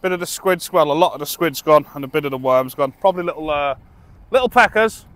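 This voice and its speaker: A man's voice: on the high side, fast talker, fairly bright tone, no room sound. Chatty British man fishing outdoors. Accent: British English. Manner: chatty, self-amused, talks to camera while fishing. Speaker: angler vlogger. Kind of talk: casual running commentary. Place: dock by the sea at night, outdoors. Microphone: camera on tripod, at a distance.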